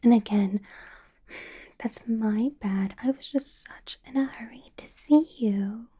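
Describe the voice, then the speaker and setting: A woman playing a doting, possessive female vampire boss in a whispered ASMR roleplay, breathy, coaxing and intimate. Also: fairly high pitch, dark tone. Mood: coaxing, businesslike. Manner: slow, coaxing, intimate whisper with murmured hums.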